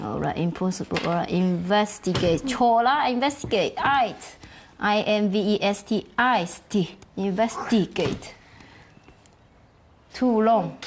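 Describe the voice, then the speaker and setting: A woman speaking with broken grammar, firmly describing strict homework discipline in a documentary interview. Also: mid-range voice. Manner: non-native English, broken grammar, firm about discipline. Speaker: mother interviewed.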